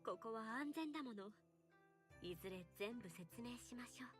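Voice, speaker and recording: An actress playing a gentle, soft-spoken young woman in a Japanese video game, over music. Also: on the high side, expressive, fast talker, natural voice. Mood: calm, reassuring. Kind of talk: Japanese visual novel voice acting. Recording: voice under loud background music.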